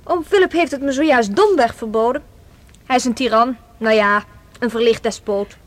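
A woman's voice: fairly high pitch, normal speed, lightly acted. An actress playing a playful, chatty, independent young woman with a teasing, breezy manner in a radio drama. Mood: confiding.

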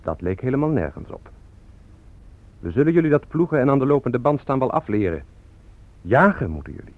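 Defiant activist spokesman, acted by a man, very animated delivery. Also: mid-range voice, brisk, phone-quality sound, natural voice. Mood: ironic, deliberate. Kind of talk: radio drama acting, declamatory.

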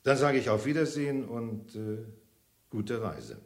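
An actor playing a scheming, impatient man in a German radio crime play, measured and hesitant, then curtly insistent. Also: mid-range voice, very animated, reverberant, soft background music. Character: scheming, impatient man talking a suspicious woman round.

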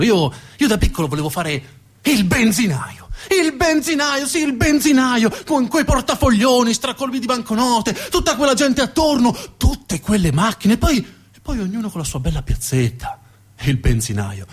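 Animated male theatrical storyteller, high-pitched. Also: husky. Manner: dramatic, emphatic, with repeated phrases. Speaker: actor and monologue storyteller. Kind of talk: theatrical narrative monologue.

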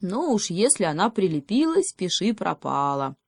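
A woman's voice: on the low side, very animated, moderate pace, vocal fry, breathy edge, no room sound, clean studio sound, full character voice. A woman voicing a sly male hedgehog in a children's story, speaking with mock gravity and teasing deliberation. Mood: mock-serious.

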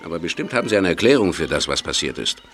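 Male actor playing pushy film producer, very animated pitch, accusing, insistent, audio drama, slight background noise. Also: fast talker, full character voice. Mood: indignant. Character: demanding, indignant film producer, pushy businessman. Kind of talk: German audio drama acting.

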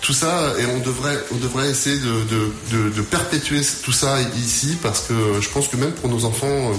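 A man's voice: mid-range voice, moderate pace, fairly bright tone, soft background music. Hesitant man in a roomy studio. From northern Portugal. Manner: hesitant, many fillers, joking. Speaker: radio studio guest. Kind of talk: casual radio chat.

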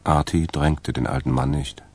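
Crime drama narrator: a man with a very deep, creaky, gravelly voice, some music underneath. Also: steady tone, moderate pace, a little mellow, no echo.

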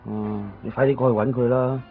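A man's voice: mid-range voice, fairly even intonation, a little fast, vocal fry, natural voice. Actor doing jittery apologetic hong kong cantonese guy, mid voice, evasive, muffled old radio play w/ music. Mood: nervous. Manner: hesitant, stammering, pleading. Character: nervous, apologetic longtime male friend, talkative and evasive. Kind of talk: 1990s Cantonese radio drama acting. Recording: dull, lo-fi 1990s radio recording with music.

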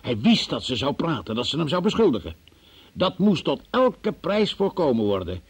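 An actor playing a forceful police investigator in a radio drama, formal, probing and theatrical in his delivery, with a husky voice. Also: fairly high pitch, very animated, moderate pace, exaggerated voice. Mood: accusing, forceful. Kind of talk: Dutch radio drama acting.

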